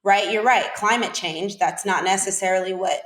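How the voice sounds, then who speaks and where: A woman's voice: medium pitch, normal speed, fairly bright tone. A woman hosting a conversational podcast interview, speaking informally and rephrasing as she goes. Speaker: podcast host, doctor. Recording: roomy, echoey sound.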